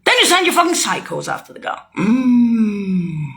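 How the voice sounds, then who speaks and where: A woman's voice: on the high side, sing-song, moderate pace, vocal fry, fairly bright tone. A woman speaking a rambling, heated monologue, swearing and accusing as she jumps from thought to thought. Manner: loose, jumping from thought to thought.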